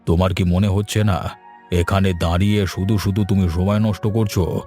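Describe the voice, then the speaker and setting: Man playing a low-voiced, condescending cartoon headmaster. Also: fairly even intonation, moderate pace, warm tone, soft background music, lightly acted. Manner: brusque, sceptical. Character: curt, condescending village headmaster. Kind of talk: horror-comedy cartoon dialogue.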